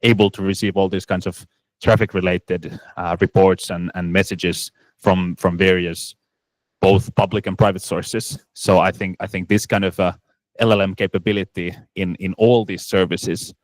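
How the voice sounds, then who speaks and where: A man's voice: low-pitched, little pitch movement, normal speed, dry recording, poor audio. A man presenting at a conference Q&A, answering questions with many restarts and fillers. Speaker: startup presenter.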